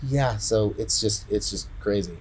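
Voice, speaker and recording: A man in casual podcast chat, retelling a story in a rambling, halting way and often repeating words. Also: fairly low pitch, poor audio. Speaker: podcast co-host.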